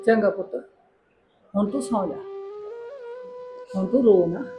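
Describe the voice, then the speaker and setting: A woman playing a kindly middle-aged aunty in an emotional drama, coaxing and soothing with gentle insistence. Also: a little high, unhurried, lightly acted. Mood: soft, soothing. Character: kindly, motherly older aunty comforting a distressed young woman. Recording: music behind the voice.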